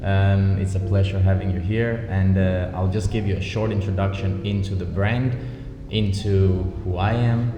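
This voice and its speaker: A man from Denmark, founder of a watch brand, speaking in a measured, thoughtful way in an interview in an office. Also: low voice, fairly even intonation. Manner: measured, thoughtful, many ums. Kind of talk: relaxed interview conversation. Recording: echoey office with music bed.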